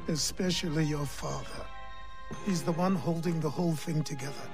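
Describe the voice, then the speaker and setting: An actor playing a weary, gruff old mentor in a video game, a curt retired assassin who sighs and lectures. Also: male voice, a little high, fairly even intonation, moderate pace, music in the background, lightly acted. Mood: grave, instructive. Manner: sighing, curt, dismissive, then instructive. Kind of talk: dramatic video game cutscene acting.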